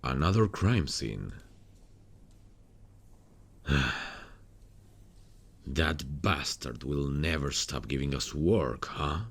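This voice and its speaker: A man playing a weary, haunted detective in an intimate ASMR roleplay, speaking to a partner softly and without hurry. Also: rumbling low, animated, very slow, long pauses, exaggerated voice. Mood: determined, resigned. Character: weary, haunted male detective hunting a serial killer.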